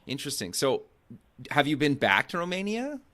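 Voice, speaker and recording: A man's voice: a little high, huge pitch swings, normal speed, fairly bright tone. Easygoing male podcast host. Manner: filler-heavy, leans on "kinda" and "sure". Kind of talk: casual interview hosting.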